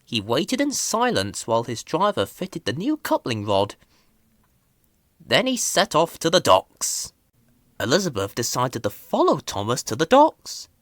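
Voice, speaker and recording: A woman's voice: extremely low pitch, wildly swooping pitch, measured pace, no echo. A woman reading a children's story as a scripted narration. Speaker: storybook reader.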